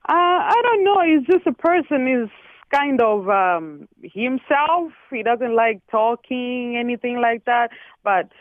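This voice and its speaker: A woman from the Congo with a Congolese accent, calling in to a radio show and speaking haltingly as she searches for words. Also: a little high, animated, no echo. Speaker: caller. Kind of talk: call-in radio conversation. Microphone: telephone. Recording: tinny phone line.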